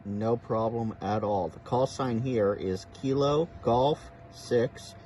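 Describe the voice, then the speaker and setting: A man's voice: medium pitch, slow pace, warm tone, slight background noise. An American man hosting a casual outdoor vlog as a radio operator, recorded on a camera in a park. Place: outdoors in a park by a baseball field. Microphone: camera without external microphone.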